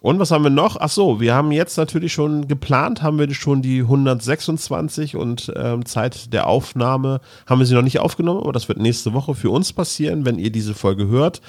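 A man's voice: medium pitch, a little fast, few pauses, darkish tone, no room sound, studio quality. A middle-aged man chatting casually as co-host of a podcast.

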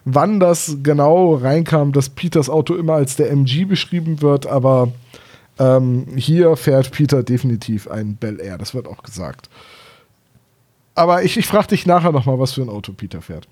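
A man's voice: medium pitch, animated, normal speed, warm tone. A man in his thirties from Germany chatting casually and enthusiastically as a podcast co-host, with a teasing streak. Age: 30s.

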